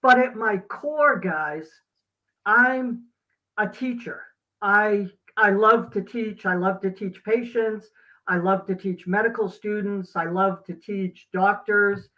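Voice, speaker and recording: A middle-aged man speaking as an interview guest, reflective and earnest, with halting, emphatic pauses. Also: high-pitched, pushed and bright, lo-fi sound. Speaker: guest, doctor and teacher.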